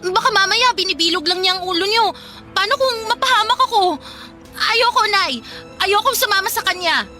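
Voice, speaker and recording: A woman's voice: very high-pitched, lively intonation, moderate pace, pushed and bright, faint music behind, exaggerated voice. A Filipino woman playing a distressed young daughter and wife in a radio drama, plaintive and protesting. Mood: pleading, protesting. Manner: plaintive, respectful but protesting. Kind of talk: Tagalog radio drama acting.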